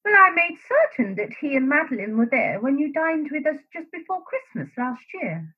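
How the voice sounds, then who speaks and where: A woman's voice: high-pitched, sing-song, moderate pace. An actress playing an anguished, guilt-ridden woman in a secret love affair in a radio play, earnest and fretful. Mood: flustered, covering up. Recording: thin phone-like sound.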